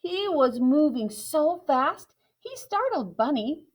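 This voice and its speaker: Slow, clear woman reading a children's story. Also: high-pitched, big pitch swings. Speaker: narrator.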